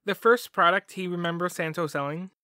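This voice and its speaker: A man's voice: high-pitched, brisk, sharp tone, dry recording, studio quality. A man hosting a podcast, reading scripted documentary narration in a wry manner. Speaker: podcast host.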